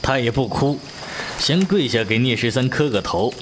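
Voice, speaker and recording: A man playing an avuncular, teasing middle-aged martial-arts master in a Chinese audio drama, unhurried in his telling. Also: medium pitch, animated, background noise, lightly acted. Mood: reminiscing. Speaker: voice actor.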